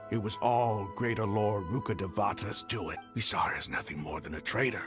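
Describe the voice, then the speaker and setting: A man playing a proud, embittered desert mercenary leader in a video game, with dramatic, halting, emotional delivery. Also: on the low side, music in the background, close to an everyday voice. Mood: bitter, anguished.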